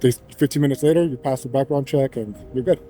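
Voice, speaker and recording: A man from Arizona with a mellow, warm tone asks casual interview questions. He often stops partway through and rephrases as he goes. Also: mid-range voice, soft background music. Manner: halting, restarts his questions.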